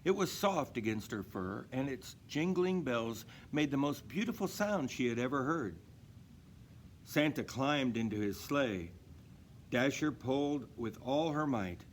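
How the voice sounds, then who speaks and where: A man reading a children's story aloud in an expressive narrating style. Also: mid-range voice, unhurried, slight background noise. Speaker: storybook reader.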